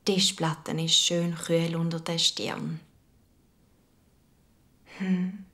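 A woman's voice: lowish voice, flat delivery, very slow, pushed and bright, full character voice. A young woman with a Swiss German accent playing an earnest, imaginative schoolchild who narrates an adventure in a children's radio play, musing aloud. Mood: tired, quiet.

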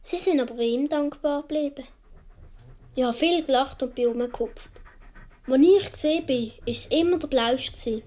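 A woman's voice: sits high, moderate pace, mellow, lightly acted. A woman with a Swiss accent playing a naive young boy of seven or eight, telling his story in a radio play. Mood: wistful, matter-of-fact, then naive, earnest.

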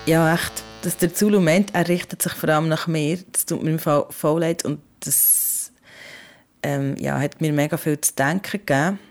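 A woman's voice: low voice, steady tone, moderate pace, soft background music. A woman with a Swiss German accent hosting a podcast in a conversational narrating style. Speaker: host.

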